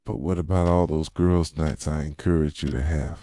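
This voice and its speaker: Possessive yandere boyfriend played by a man for ASMR roleplay: extremely deep, soft, manipulative and insistent, closely miked and dry. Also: steady tone, normal speed, no echo. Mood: tender, reproachful. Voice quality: soft, low, breathy at times. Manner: intimate, insistent, pleading and accusing. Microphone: close microphone, dry sound.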